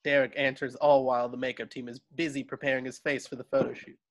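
A man's voice: mid-range voice, level tone, fast. A man reading stage directions as narrator in an amateur table read, now and then stumbling over words. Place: home, on a group video call.

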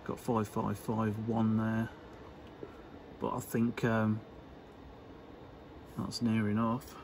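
Male hobbyist presenter, slow and halting, casual unscripted demo, noticeable background noise. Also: lowish voice, level tone, darkish tone. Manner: hesitant, unscripted, halting phrasing. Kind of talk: casual workbench demonstration. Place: indoor electronics workbench.

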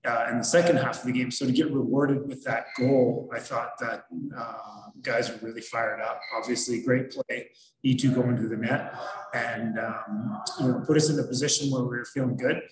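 Middle-aged male coach, steady mid pitch, methodical postgame answers, echoey video-call sound. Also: a little hiss or hum. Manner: measured, analytical, frequent fillers. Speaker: hockey head coach. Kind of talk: postgame press conference answers. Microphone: video call webcam. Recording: roomy echo.

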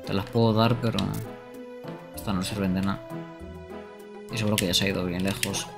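A man's voice: fairly low pitch, fairly even intonation, brisk. A young man giving casual live gameplay commentary as a streamer. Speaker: game streamer. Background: loud game music.

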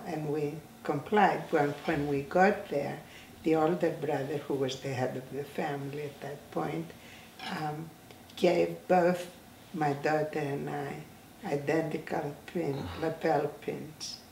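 An elderly woman in her eighties, from Greece, recalls her life in an interview, slow and halting with frequent restarts. Her voice has a gravelly edge. She sits in a room, picked up from a distance with a roomy echo. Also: low voice, steady tone, a little mellow, a little hiss or hum. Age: 80s. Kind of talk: oral history interview. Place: indoor room, seated in a chair. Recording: roomy, slightly distant sound.

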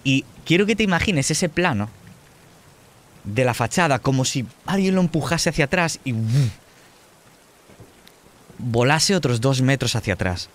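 A man acting as game master, narrating a horror scene in a deliberate, descriptive way with pauses. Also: mid-range voice, expressive. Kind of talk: improvised tabletop horror narration.

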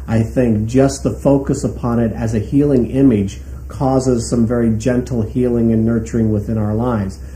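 A man's voice: mid-range voice, fairly even intonation, normal speed, hardly any pauses, dark tone. A man explaining his ideas as an interviewed author and teacher, in unscripted talk. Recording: echoey room with faint noise.

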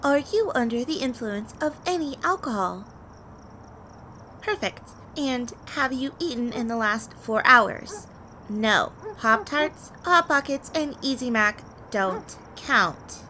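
Over light background noise, an actress plays a confident, flirtatious vampire woman in an intimate audio roleplay. Her voice sits a little high with a bright edge. She teases the listener playfully, as if speaking to them one on one. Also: lively intonation, heavily acted, rough recording. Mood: teasing, bossy. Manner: playful, teasing, unhurried delivery with soft breathy asides.

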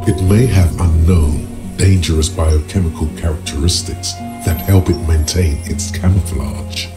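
A man voicing a solemn inner voice of a detective's mind in a video game, grave, deliberate and portentous. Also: low-pitched. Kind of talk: dramatic video game narration. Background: music.

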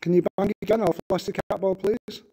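Man, Manchester accent, ghost hunter, high voice, mellow tone, polite coaxing, stone castle room, camera mic. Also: steady tone, fairly quick, husky. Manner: polite, coaxing, repeats requests with please. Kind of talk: paranormal investigation calling out to spirits.

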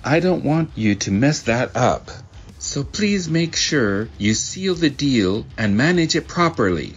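A man's voice: medium pitch, big pitch swings, unhurried, husky, lo-fi sound. A man voicing an earnest, helpful young office worker in a manga dub, polite and supportive. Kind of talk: manga dub character acting.

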